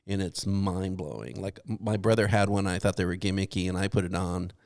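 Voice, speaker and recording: Gravelly, low-voiced man chatting casually. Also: a little fast, darkish tone, no room sound, studio quality. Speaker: podcast cast member and guest painter. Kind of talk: casual podcast chat.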